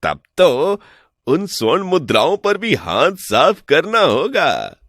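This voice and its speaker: A crafty village trickster played by a man for an animated folk tale. His voice sits a little high and is recorded dry. He coaxes and boasts with false respect, always selling his scheme. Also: takes it slowly, dry recording, full character voice. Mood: scheming. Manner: persuasive, boastful, mock-respectful. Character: sly smooth-talking male village swindler posing as returned from heaven.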